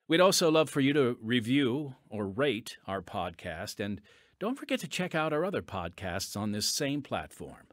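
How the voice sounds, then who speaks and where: A man's voice: medium pitch, no room sound. A man hosting and narrating a scripted radio podcast, addressing listeners earnestly and directly. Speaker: podcast host. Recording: dry studio with music bed.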